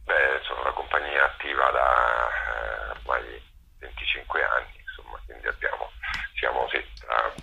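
Very deep, creaky man, hesitant and pausing. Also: flat delivery, pushed and bright, a little hiss or hum, rough recording. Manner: hesitant, pausing, searching for words. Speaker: theatre actor-director, interview guest. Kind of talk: thoughtful interview conversation.

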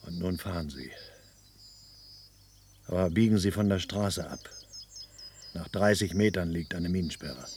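An actor playing a determined grown son in a German radio play, speaking earnestly and with urgency. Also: male voice, low-pitched, unhurried, long pauses, a little mellow, a little hiss or hum. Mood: resolute, hushed. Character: determined grown son, insistent loyal citizen.

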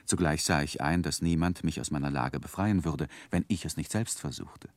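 A deep voiced guy telling his boyhood memoir, reflective n earnest, lots of pitch movement, dry studio, old radio drama. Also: no room sound. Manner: measured, reflective, literary storytelling. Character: earnest grown man narrating his own boyhood memoir. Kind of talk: scripted narration in a 1960s radio drama.

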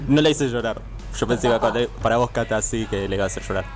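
Man, rioplatense accent, mid pitch, dry teasing, music behind. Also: quick pace. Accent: Rioplatense Spanish. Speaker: radio show regular. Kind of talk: casual radio chat.

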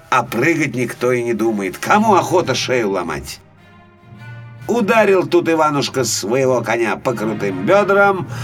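A male storyteller reads a children's fairy tale in a dramatic, expressive way. His mid-range voice rises and falls widely, with faint music behind it. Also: mid-range voice. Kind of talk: scripted fairy-tale narration for small children.

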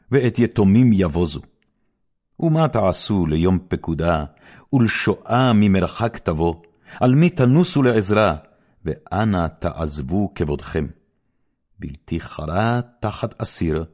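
A man reading scripture aloud as a solemn, declamatory recitation. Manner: solemn, declamatory, with wide swings of pitch and loudness. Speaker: Bible reader.

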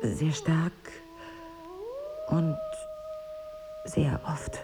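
An actress playing the composed but evasive wife of an amnesiac in an intimate radio drama, insistent and teasing. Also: female voice, sits low, wildly swooping pitch, very slow, background noise, heavily acted. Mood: hushed, sensual.

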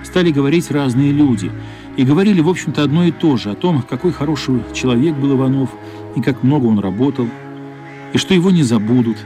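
A man's voice: medium pitch, fast talker. A man narrating a literary story for a radio play, as a scripted narrator. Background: faint music.

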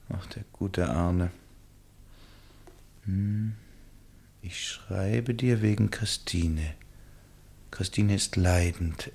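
A brooding nobleman with a deep voice and diction. He is reserved and weighs his words with a troubled conscience, in the literary manner of a radio play. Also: a little mellow. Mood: absorbed, wry. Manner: reads a letter aloud with muttered asides. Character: aristocratic married gentleman, brooding, reserved, conscience-pricked by a letter. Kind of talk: German literary radio drama acting.

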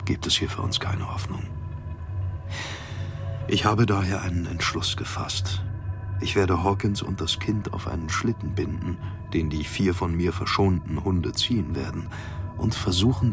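Grim starving arctic captain, deep creaky voice, almost whispery, reading his log in a radio play, music under it. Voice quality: gravelly, often breathy and near-whispered. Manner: grave, formal, deliberate diary delivery. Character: starving, grimly resolved male polar expedition captain. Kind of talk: radio drama, first-person logbook monologue.

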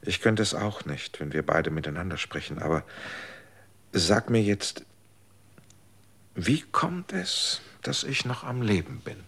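A man's voice: deep voice, lively intonation, unhurried, creaky, long pauses, slightly rough, full character voice. An actor in a radio drama playing an anxious, probing man who cannot explain why he is still alive, speaking in a searching, insistent way. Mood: questioning. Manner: searching and insistent, swings between hushed and urgent. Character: anxious, probing man who survived his fated hour. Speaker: lead character.

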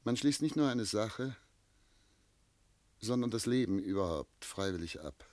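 A man's voice: lowish voice, lively intonation, a little slow, a little mellow, no room sound. An actor playing a tormented, self-doubting man of seventy in love, speaking solemn literary German lines in a classic radio play. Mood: grave, pleading. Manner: solemn, literary, declamatory phrasing.

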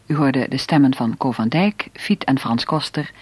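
A man's voice: medium pitch, slightly rough, no echo. A man reading the formal closing credits of a radio serial. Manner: formal, measured. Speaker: radio announcer.

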